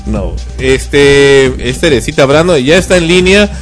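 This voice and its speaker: A man's voice: on the high side, expressive, measured pace, soft background music. A man hosting a radio talk show, chatty and joking, with many fillers. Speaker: radio show host and director. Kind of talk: casual radio chat.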